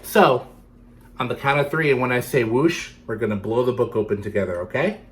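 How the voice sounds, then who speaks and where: A man's voice: mid-range voice, a little fast. A man reading a picture book to children, playfully addressing young listeners as he goes. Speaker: storytime reader for children.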